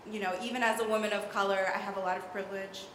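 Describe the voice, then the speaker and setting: A woman's voice: mid-range voice, flat delivery, very fast, a bit bright. Young woman in the crowd, rambly, lots of "like", long echo off the hall. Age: young adult. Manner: rambling, hedging with "sort of" and "like". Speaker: audience member. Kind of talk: audience comment at a panel. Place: large hall at a public event. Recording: echoey hall, faint room noise.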